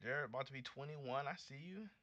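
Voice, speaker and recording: An American man in his late twenties hosting a casual livestream, chatting with viewers in a slangy, animated, conversational way. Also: mid-range voice, brisk, no room sound. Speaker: livestream host. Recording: dull lo-fi streaming audio.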